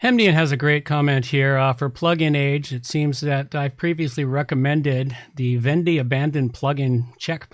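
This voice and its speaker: A man's voice: medium pitch, moderate pace, few pauses, no echo, studio quality. A middle-aged man hosting a podcast, talking casually in a rambling, blunt, informal way. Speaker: podcast host and website consultant. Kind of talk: casual tech podcast talk.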